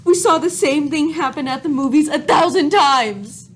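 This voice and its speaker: A high-voiced, indignant everyday citizen acted by a woman. The delivery is scoffing and comic, in the manner of a radio play. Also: moderate pace, a little hiss or hum, close to an everyday voice. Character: indignant, scoffing member of the public.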